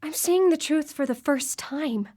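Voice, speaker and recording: An actress playing a grieving, obsessive widow in a live radio drama, pleading and urgent. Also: female voice, high voice, a little slow, mellow. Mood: bitter, defiant. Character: grieving, obsessive widow desperate to reach her lost wife. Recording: live broadcast with background noise.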